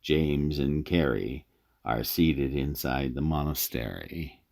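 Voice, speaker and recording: Very deep, slow, gravelly male narrator. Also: animated, darkish tone. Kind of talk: scripted radio drama narration.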